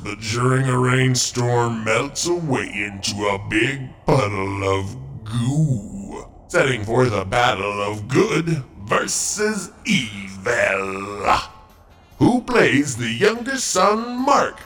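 A man's voice: mid-range voice, animated, slow, a bit bright, distant mic, soft background music. A man hosting a mock game show with scripted comic narration, in a theatrical, exaggerated announcer style.

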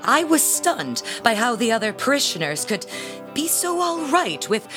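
An actress playing a proud, aggrieved, self-important middle-aged church bell ringer in an audio drama, speaking in a theatrical, haughty, deliberate way. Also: female voice, a little high, sing-song, music in the background. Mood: aggrieved, indignant.

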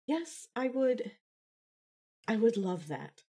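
A woman playing an affectionate, sheepish young newlywed with a measured, slightly formal way of talking in an audio drama. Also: fairly high pitch, sing-song, warm tone, breathy edge, exaggerated voice. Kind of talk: fan fiction audio drama acting.

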